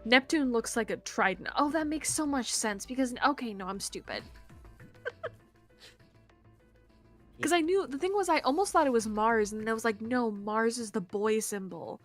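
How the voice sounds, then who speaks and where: A woman co-hosting a gaming stream in casual chat, excitable, giggly and rambling. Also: sits high, normal speed, pauses often, faint music behind. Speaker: streamer co-host.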